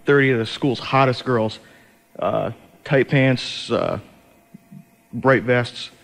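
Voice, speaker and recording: A man's voice: mid-range voice, fairly even intonation, slow, creaky, low-quality recording. A young man hosting as a college radio DJ, chatting casually between songs in a sleepy, hesitant, rambling way on an over-the-air recording. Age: young adult.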